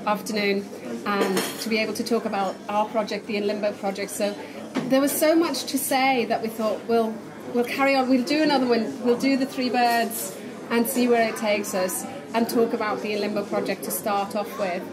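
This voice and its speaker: A woman's voice: on the high side, fairly even intonation, normal speed. Chatty woman host in a noisy bar. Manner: chatty, laughing easily. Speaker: podcast host. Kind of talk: casual chat. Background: crowd chatter.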